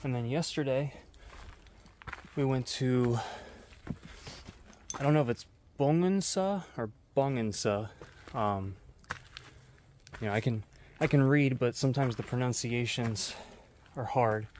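A man in his thirties talking casually to his head-mounted camera as he hikes a dark mountain trail at night, rambling and swearing freely. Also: mid-range voice, unhurried, pauses often, slight background noise. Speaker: vlogger. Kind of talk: casual vlog narration while hiking. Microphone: head-mounted action camera.

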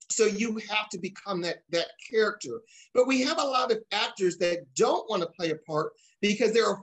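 A middle-aged man with an American accent hosts a talk show from home over a video call. His pitch sits in an ordinary range. He speaks conversationally and leans on his points, often saying them twice. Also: rough recording. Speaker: talk show host, playwright and director.

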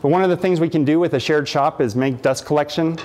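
A man's voice: fairly high pitch, fast, darkish tone, a little hiss or hum. Upbeat man touring a workshop. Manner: enthusiastic, gestures while walking, frequent fillers. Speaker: makerspace director giving a shop tour. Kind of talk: casual walking tour. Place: large indoor workshop. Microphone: camera mic, medium distance.

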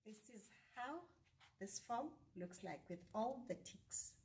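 A woman's voice: medium pitch, animated, slow, over music. A middle-aged woman giving scripted instructions as a science fair presenter. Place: classroom or lab with display boards, indoors.

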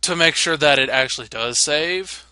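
A man's voice: fairly high pitch, moderate pace, pushed and bright, clear, resonant tone, no room sound. A man casually commentating on a game as he plays, wry and given to mild swearing. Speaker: let's player. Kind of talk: casual game commentary, reading text aloud.

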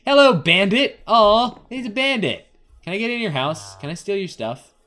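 Young male gaming host: playful, rambling Let's Play commentary with a lively, swinging pitch. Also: normal speed, slight background noise. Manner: playful, rambling, talks to game characters and himself. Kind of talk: casual Let's Play commentary on an adventure game.

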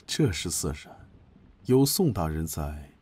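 A man acting a sly, sardonic imperial court official in a Chinese period audio drama, measured and insinuatingly polite. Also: rumbling low, big pitch swings, a little mellow, slightly rough, soft background music, heavily acted. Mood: sly politeness.

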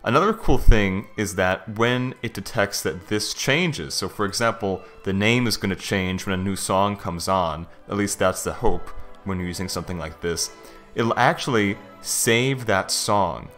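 Male tech reviewer, low register, informal and conversational screen-demo narration over background music. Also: unhurried, fairly bright tone. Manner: informal, conversational, thinking aloud. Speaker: software reviewer. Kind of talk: casual screen-recorded software walkthrough.

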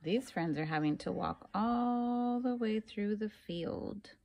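Female storytime reader, teacher type: very unhurried, lively intonation, deliberate phrasing for young listeners. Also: mid-range voice, a little hiss or hum. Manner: deliberate, pauses between phrases, asks listeners questions. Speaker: teacher reading a picture book aloud to children. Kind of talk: expressive children's picture-book read-aloud.

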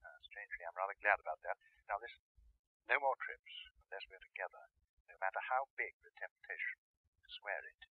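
An actor playing a cool, commanding professor heard through a telephone filter in a 1970s radio drama. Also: male voice, lowish voice, lively intonation, brisk, husky, dry recording. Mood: cautioning. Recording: thin band-limited phone line.

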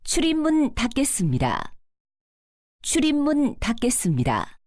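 A woman's voice: high-pitched, wildly swooping pitch, unhurried, a little mellow, faint music behind. A woman reading scripted subway announcements in a polite, formal manner as a transit announcer.

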